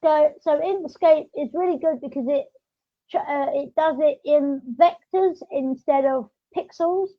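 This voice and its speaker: A woman's voice: sits high, unhurried, no room sound. A woman hosting a podcast in casual, chatty, rambling talk over an online call. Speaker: podcast host. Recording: dull lo-fi online call audio.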